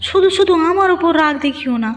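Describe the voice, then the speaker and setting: A woman's voice: very high voice, fast, in a large hall. A woman voicing a concerned village wife in a cartoon, plaintive and full of questions. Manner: plaintive, inquisitive. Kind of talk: cartoon dialogue acting. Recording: muffled narrow-band audio with music.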